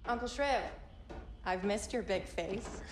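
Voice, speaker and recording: A woman's voice: mid-range voice, takes it slowly, close to an everyday voice. A woman playing a spirited, cheeky young rebel pilot in a video game, talking informally. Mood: affectionate. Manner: informal, youthful. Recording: game effects noise behind.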